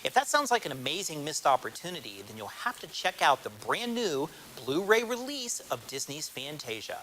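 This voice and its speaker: Animated American male TV film reporter. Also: a little high, normal speed, a little hiss or hum, poor audio. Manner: polished, promotional delivery. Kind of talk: scripted broadcast narration. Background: music.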